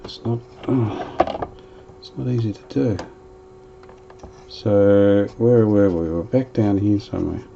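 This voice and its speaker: A man's voice: on the low side, slow pace, vocal fry, lo-fi sound, noisy background. A man narrating as he restores an old radio, chatting casually and thinking aloud while he works.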